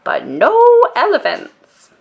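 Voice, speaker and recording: A firm old grandmother from a cozy storybook, acted out by a woman reader. She speaks very slowly and emphatically, drawing out her words, and her pitch swings up and down with exasperation. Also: wildly swooping pitch, creaky, fairly bright tone, heavily acted. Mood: polite but firm.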